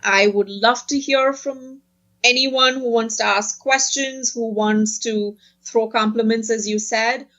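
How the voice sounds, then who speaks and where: A woman speaking as a podcast guest in a casual interview, earnest and quick to laugh. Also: on the high side, fairly even intonation, a bit bright, highs cut off.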